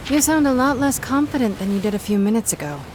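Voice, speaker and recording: A woman's voice: fairly high pitch, lively intonation, fast talker, darkish tone, slight background noise, natural voice. A woman playing an impatient, sardonic pirate captain in a video game, with punchy, sarcastic delivery. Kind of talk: video game character acting. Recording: ship and sea ambience behind.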